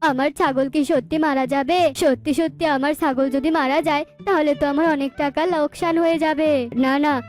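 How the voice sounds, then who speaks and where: A woman's voice: high voice, fairly even intonation, fairly quick, faint music behind, close to an everyday voice. A woman voicing an anxious, money-minded bird in a children's cartoon, fretful and often thinking aloud. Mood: worried.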